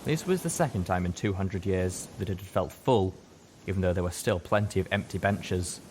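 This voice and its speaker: A man reading a scripted story aloud as an expressive audiobook narrator. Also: low voice, moderate pace, slight background noise.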